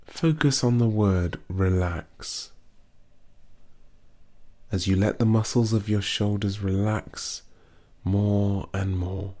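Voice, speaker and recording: A man leads a guided muscle relaxation exercise, his voice sitting on the low side. He speaks very slowly and calmly, gently instructing the listener step by step. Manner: slow, soothing, gently instructive.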